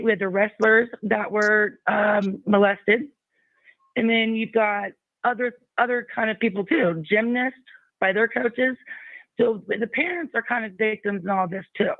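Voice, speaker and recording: A man's voice: sits high, no room sound. A man calling into a live show, insistent and rambling, talking over the host. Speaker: caller. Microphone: phone. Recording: phone line, dry.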